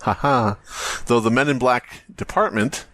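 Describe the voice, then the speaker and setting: A man's voice: medium pitch, measured pace, a bit bright, dry recording. A man hosting a comedy podcast, riffing casually with jokes and swearing, and reading bits aloud. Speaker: podcast host.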